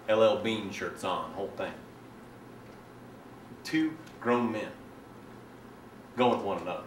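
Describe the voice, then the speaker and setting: A man performing a dramatic monologue as a self-righteous, resentful young man, telling his story in a halting, colloquial, confiding way. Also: medium pitch, a bit bright, natural voice. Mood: tense, hushed. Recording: echoey room, distant mic, faint noise.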